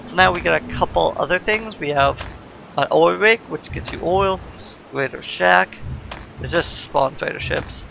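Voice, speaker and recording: A man's voice: on the high side, normal speed, gravelly, bright, forceful tone, slight background noise, rough recording. A man giving casual commentary as the host of a gameplay video. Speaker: let's play host. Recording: game music behind the voice. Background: video game music.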